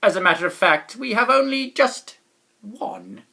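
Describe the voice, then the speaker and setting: A woman's voice: a little high, animated, a little slow, vocal fry, bright, forceful tone, voice pushed up, exaggerated voice. A woman voicing a kindly male doorman of a storybook city, speaking in rhyme with grand, emphatic delivery. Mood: troubled, explaining. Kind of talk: storybook character reading in rhyme.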